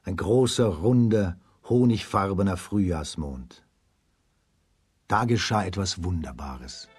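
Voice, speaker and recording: A man's voice: deep voice, slow pace, darkish tone, slightly rough, no echo. A man narrating a scripted fairy tale for a children's radio play.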